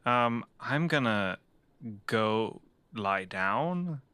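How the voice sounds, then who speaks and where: A man playing an awkward, weary, self-deprecating fugitive in a tabletop game, speaking haltingly. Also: medium pitch, expressive, bright, forceful tone, clear, resonant tone, dry recording, exaggerated voice. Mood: weary, embarrassed. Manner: halting, hesitant.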